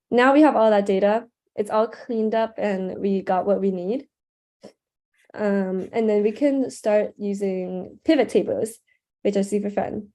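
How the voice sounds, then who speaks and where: A young woman runs a live video-call tutorial through a headset, talking in a loose, casual way full of ums and likes. Her voice sits on the mellow, darker side, and a sore throat keeps her clearing it. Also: medium pitch. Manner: casual, chatty, frequent "um" and "like". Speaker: workshop instructor. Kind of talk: live online workshop screen-share tutorial.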